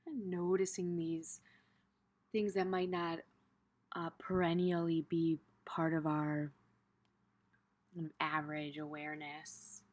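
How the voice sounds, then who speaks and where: A woman teaching a gentle guided meditation in an informal, tentative way, pausing in the middle of her phrases. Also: low-pitched, flat delivery.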